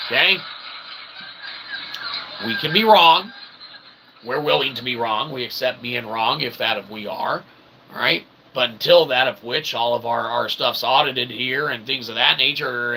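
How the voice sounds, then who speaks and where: A man with a very bright, tense voice and a gravelly creak talks off the cuff as a self-styled philosopher. His phrasing is pompous, archaic and convoluted, and he keeps punctuating it with short check-ins. There is faint noise behind his voice. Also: medium pitch, low-quality recording. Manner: punctuates talk with "okay" and "all right". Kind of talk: rambling off-the-cuff monologue to the audience.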